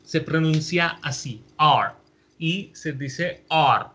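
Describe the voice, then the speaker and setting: Indian-accented man, native Hindi speaker, patient teacher; very slow, drawn-out delivery, slightly husky. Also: a little high, sharp tone. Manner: repeats each phrase patiently, drawing out words. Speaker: language teacher. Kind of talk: slow spoken language lesson. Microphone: voice-over over slides.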